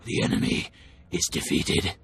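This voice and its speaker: A man's voice: normal speed, a bit bright, faint music behind, natural voice. A man playing a menacing, cold Sith enforcer in a video game, making terse pronouncements. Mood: cold menace. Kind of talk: scripted video game dialogue.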